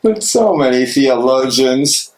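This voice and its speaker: Man acting an anguished, slow-spoken imprisoned theologian. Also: mid-range voice, animated, a little mellow. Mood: bitter, searching. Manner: slow, declamatory, impassioned delivery. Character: imprisoned German theologian, anguished, devout, defiant of Nazis. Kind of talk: dramatic stage reading. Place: library room, live reading. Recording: echoey room, distant mic, noisy.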